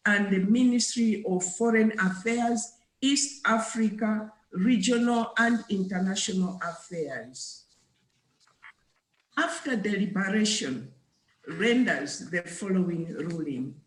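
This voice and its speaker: A woman reading a court ruling aloud formally as a judge. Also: medium pitch. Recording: echoey room.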